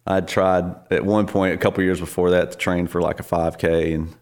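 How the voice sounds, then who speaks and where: A man in his forties with a very deep voice talks as a podcast guest. He is easygoing and self-deprecating, with plenty of ums and you-knows. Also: little pitch movement, fairly quick, a little mellow, clear, resonant tone. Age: 40s. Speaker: worship leader, podcast guest. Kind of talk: casual interview conversation.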